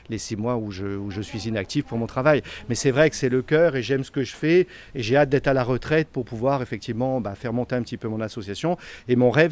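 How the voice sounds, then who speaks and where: A middle-aged man, the founder of a charity, answering interview questions in an earnest, casual way, often repeating words and filling pauses. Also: medium pitch, a little mellow. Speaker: association founder.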